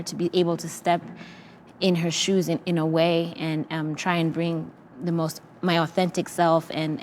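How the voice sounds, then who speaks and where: A young woman with a lowish voice reflects earnestly over a video call. She pauses on fillers as she works through her thoughts, and faint background noise sits under her voice. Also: darkish tone. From Rwanda. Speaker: actress, the play's lead. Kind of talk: reflective talkback answers.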